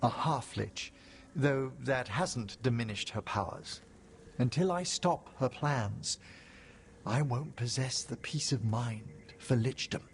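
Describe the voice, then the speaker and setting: A man's voice: medium pitch, slow pace, a little hiss or hum. A man playing a courteous, reflective older necromancer scholar in a video game, with formal, theatrical, erudite phrasing. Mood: solemn. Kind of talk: video game cutscene acting. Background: music.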